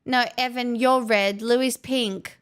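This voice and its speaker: A woman's voice: on the high side, steady tone, slow pace, smooth. A woman hosting a livestream, chatting casually with viewers in a playful, teasing way. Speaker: livestream host.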